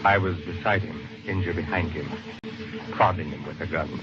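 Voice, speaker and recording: An actor playing a wealthy, guilt-ridden murderer in an old radio crime drama, speaking in a formal, measured, terse way. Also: male voice, low voice, lightly acted. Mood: grim narration. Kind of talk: mid-century American radio crime drama acting, first-person narration. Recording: dull, noisy old radio recording.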